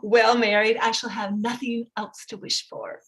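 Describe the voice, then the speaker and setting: An actress playing a fussy, excitable middle-aged mother in a gushing, pleading manner, in a theatrical period staged reading. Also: on the high side, a little slow. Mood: wistful.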